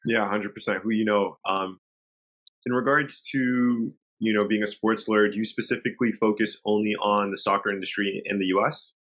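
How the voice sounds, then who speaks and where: A man's voice: on the low side, steady tone, normal speed, a bit bright. A man hosting an interview, asking questions in an upbeat, encouraging way. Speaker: interview host.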